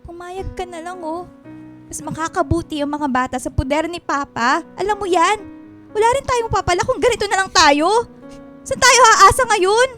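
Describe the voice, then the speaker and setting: Very high-pitched, defensive young wife. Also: female voice, normal speed, sharp tone. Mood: resolved, impatient. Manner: pleading, defensive, dramatic. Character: guilty, defiant young wife, sharp-tongued daughter. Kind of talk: Filipino radio melodrama acting. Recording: music bed behind the voice.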